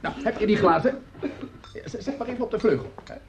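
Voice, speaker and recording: An actor playing a tippling, blustery music teacher and father in a radio play, rambling and halting as he talks. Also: high voice, animated, over a noisy crowd. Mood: jovial, excited. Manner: rambling, halting, prone to mutter and swing up. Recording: dull, noisy radio play recording.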